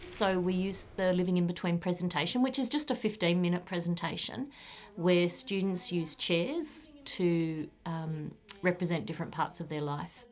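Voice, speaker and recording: A woman's voice: on the low side, normal speed, a little hiss or hum. A woman teacher speaking reflectively and a little hesitantly in an interview. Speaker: college teacher and program coordinator. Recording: guitar music behind the voice. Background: acoustic guitar music.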